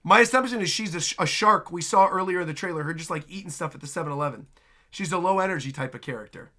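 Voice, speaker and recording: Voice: medium pitch, quick pace. A host reacting to game trailers in casual, exclamatory commentary, talking half to themself as they watch. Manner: animated, exclamatory, talks to self.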